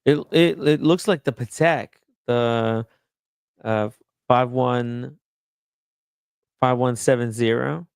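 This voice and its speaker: A man hosting a casual livestream chat, relaxed and chatty, laughing easily. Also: medium pitch, takes it slowly, gravelly, long pauses, clean tone, no room sound, studio quality. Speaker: host.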